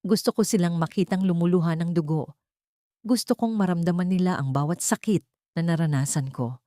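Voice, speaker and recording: A woman's voice: on the low side, a little fast, dark tone, no echo, close to an everyday voice. A woman storyteller acts out a wounded daughter hardening into an avenger. She is a woman of intense emotion in an audio story drama, recorded dry. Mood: vengeful.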